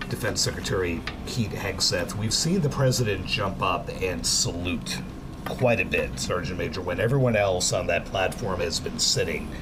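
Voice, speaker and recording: A man anchoring live event commentary, describing the scene and asking a guest questions. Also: sits low. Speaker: news anchor. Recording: noisy outdoor broadcast.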